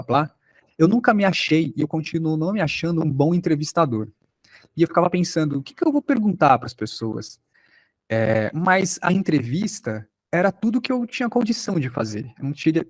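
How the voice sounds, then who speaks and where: A man's voice: a little high, no echo. A man with a Brazilian accent giving an informal online lecture as a workshop instructor, talking conversationally and with some self-deprecation about his own experience.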